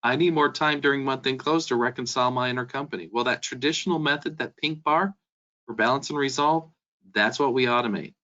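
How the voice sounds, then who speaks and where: A man's voice: mid-range voice, fairly quick, sharp tone. Man presenting a webinar, dull band-limited audio. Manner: explanatory, addresses listeners directly. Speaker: software company presenter.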